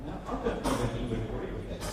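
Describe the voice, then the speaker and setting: An Australian man giving a seminar lecture, speaking slowly with emphatic, repetitive phrasing and heavy stresses. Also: medium pitch, big pitch swings. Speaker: guest lecturer. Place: large lecture hall. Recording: very echoey hall from far off, noisy.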